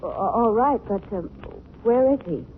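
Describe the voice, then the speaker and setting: Woman acting lively radio heroine, noisy old broadcast. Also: on the high side, moderate pace, lightly acted. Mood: helpful, puzzled. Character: Margot Lane, lovely companion of a crime fighter, chatty and easily alarmed. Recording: noisy, narrow-band 1940s radio recording.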